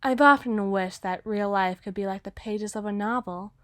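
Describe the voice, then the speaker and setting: A woman's voice: mid-range voice, moderate pace, no echo, clean studio sound, close to an everyday voice. A woman playing a gushing, obsessively lovestruck teenage girl writer in an ASMR roleplay monologue, flustered and rambling. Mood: intense, longing.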